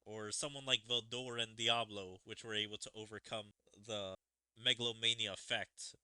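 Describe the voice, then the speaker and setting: A man's voice: lowish voice, steady tone, moderate pace, bright, forceful tone, clean tone, dry recording. A man giving casual commentary as the host of a gaming video.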